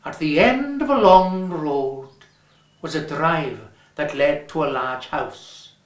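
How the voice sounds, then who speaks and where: A man with a Welsh accent reading a story aloud, measured and lingering on his words. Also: a little high, animated. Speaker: reader. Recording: roomy echo.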